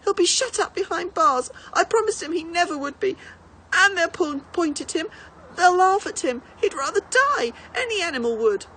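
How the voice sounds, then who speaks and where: A woman's voice: very high-pitched, expressive, normal speed, bright, forceful tone, slight background noise, voice pushed up, exaggerated voice, low-quality recording. A woman voicing a passionate, distraught seven-year-old boy in a storybook reading, pleading emotionally. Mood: pleading, upset.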